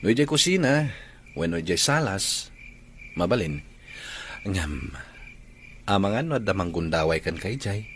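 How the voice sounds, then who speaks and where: Actor as a charming rich young suitor, persuasive, lively pitch, faint hiss behind. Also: male voice, unhurried, long pauses.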